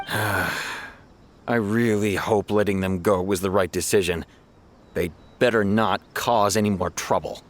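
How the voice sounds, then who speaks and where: A man voicing a skeptical but soft-hearted town lawman in a video game, reasonable and a little hesitant. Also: on the low side, steady tone, a little slow, natural voice. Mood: weary, warning. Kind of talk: English video game voice acting. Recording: clean studio voice over game music.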